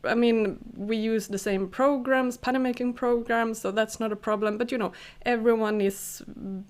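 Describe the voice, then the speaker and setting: A woman from Finland, co-hosting a podcast in casual talk, hesitant with many fillers and soft laughs. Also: fairly high pitch, steady tone, normal speed. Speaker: podcast co-host, freelance pattern maker. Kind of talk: casual chat.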